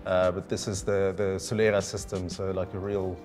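Lowish voiced man, keen and explaining stuff, chatty wine guy, faint music under. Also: little pitch movement, normal speed, a little mellow. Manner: enthusiastic and explanatory. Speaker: wine specialist. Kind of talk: conversational presentation. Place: decorated indoor room with a laid table, standing.

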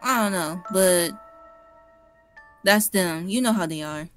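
A woman's voice: on the low side, takes it slowly, fairly bright tone, soft background music. A young woman playing a skeptical, teasing character in an improvised game roleplay, wry and slangy. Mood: doubtful.

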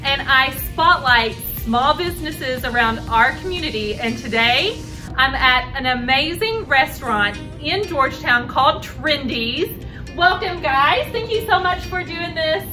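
A very high-voiced American woman hosts an upbeat promotional interview. She is enthusiastic and gushing. She sounds a bit away from the mic, with clear music behind her. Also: expressive, measured pace, recorded from a distance. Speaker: host, realtor. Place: indoors at a restaurant bar. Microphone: camera a few feet away.

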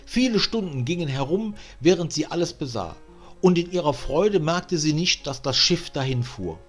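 A man reading a fairy tale as an audiobook narrator, in an expressive storytelling manner. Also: a little high, normal speed, soft background music. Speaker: audiobook reader.

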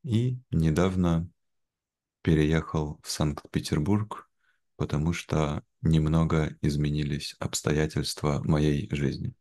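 A young man with an extremely low voice talks at a slow, measured pace. His phrasing is simple and clear, as in conversation meant for language learners. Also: fairly even intonation, warm tone. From Veliky Novgorod, Russia. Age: young adult. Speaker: interview guest, language teacher. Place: indoor room, video call. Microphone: video call, wearing headphones.

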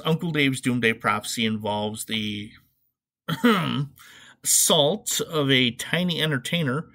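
A man's voice: medium pitch, fairly bright tone. Sarcastic man hosting a podcast. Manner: sarcastic, swearing, emphatic asides. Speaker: podcast host. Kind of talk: comedic, opinionated podcast commentary, semi-scripted.

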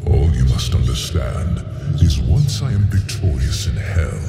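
Extremely deep, haughty fallen angel. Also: male voice, sing-song, a little slow, vocal fry, loud music behind the voice, heavily acted. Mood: haughty, menacing. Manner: pompous, haughty, grandiose. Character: proud, imperious male angelic self-proclaimed savior. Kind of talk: theatrical game cutscene acting.

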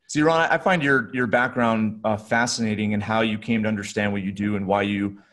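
A middle-aged man with a fairly low voice hosts an interview podcast over a video call. He talks conversationally, often stopping to rework his phrasing. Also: fairly even intonation, fairly quick, fairly bright tone. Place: small furnished room at home or office, on a video call.

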